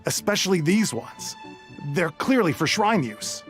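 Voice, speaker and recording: A man's voice: sits high, sing-song, measured pace, over music. An actor playing a dutiful, suspicious guard in a video game, deferential and earnest toward his leader. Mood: businesslike. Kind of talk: scripted video game dialogue.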